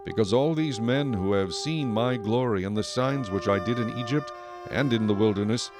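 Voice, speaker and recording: A man playing a stern, majestic God in a dramatized scripture recording, solemn, authoritative and deliberate. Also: fairly low pitch, lightly acted. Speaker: narrator and voice of the Lord. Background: music.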